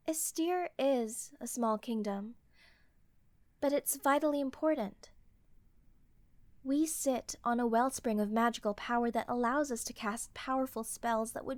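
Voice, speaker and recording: A woman's voice: on the high side, no echo, lightly acted. A woman playing a proud, earnest young queen in a fantasy audio roleplay, speaking formally and a little defensively.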